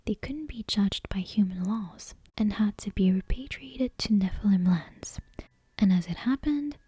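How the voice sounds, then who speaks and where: A woman whispering a fantasy bedtime story in a breathy, gentle, careful ASMR read-aloud style. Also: medium pitch, no room sound.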